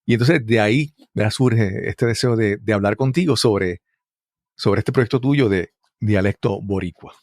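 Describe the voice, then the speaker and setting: A man's voice: fairly low pitch, a little slow, a little mellow, dry recording, clean studio sound. A man hosting an interview, talking in a conversational, rambling way and often repeating words. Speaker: interview host.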